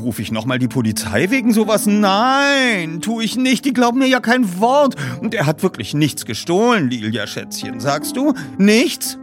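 A man's voice: high-pitched, very animated, moderate pace, hardly any pauses, soft background music, pitched above the performer's own voice. A man voicing a brash, chatty middle-aged woman in an audiobook, a gushing smoker who talks in torrents. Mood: dramatic, excitable. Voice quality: coughing smoker's voice. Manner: gushing, breathless torrent of words. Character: huge brash chatty middle-aged woman, chain-smoking nosy neighbour.

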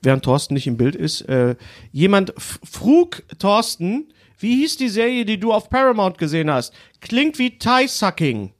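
Male podcast host, fairly high and very animated, halting and conversational. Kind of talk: casual film and series podcast chat.

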